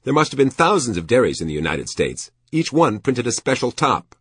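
Man acting an emphatic, husky antiques dealer. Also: mid-range voice, very animated, fairly quick, fairly bright tone, no room sound, studio quality, pitched above the performer's own voice. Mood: excited reminiscing. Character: fussy, nostalgic American male antiques dealer, curt to servants.

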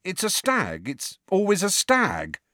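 A man's voice: on the high side, big pitch swings, unhurried, fairly bright tone, no echo, clean studio sound, pitched above the performer's own voice, heavily acted. A man voicing an impatient, defensive fifteen-year-old schoolboy wizard, speaking in an urgent, insistent way.

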